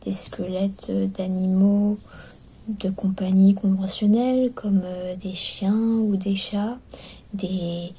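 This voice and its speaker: A woman speaks softly in an ASMR roleplay as clinic staff, often slipping into a whisper, with gentle, hesitant, attentive patter. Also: medium pitch, steady tone, takes it slowly, husky. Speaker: ASMR performer playing skeleton clinic staff. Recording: lo-fi recording with background noise.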